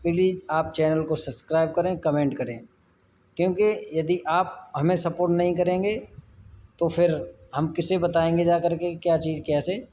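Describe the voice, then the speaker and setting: Higher-pitched man, noisy tutorial recording. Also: steady tone, moderate pace, dark tone. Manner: repeats phrases and instructions as he goes. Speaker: tech tutorial host. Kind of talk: informal step-by-step phone tutorial. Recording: noisy amateur recording with background ambience.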